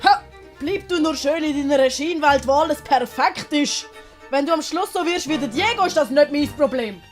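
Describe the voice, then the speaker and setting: A woman's voice: high voice, normal speed, soft background music, pitched above the performer's own voice, natural voice. A woman with a Swiss accent voicing a small, hot-tempered, loyal male companion in a children's audiobook. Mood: hurt, angry. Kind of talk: dramatised German-language children's audiobook.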